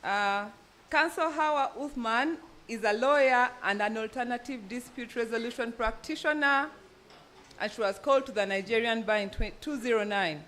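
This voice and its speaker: Panel moderator: woman, Ugandan accent, higher-pitched voice, formal live introductions at a conference. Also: normal speed, fairly bright tone, slight background noise. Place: event venue, speaking live to an audience.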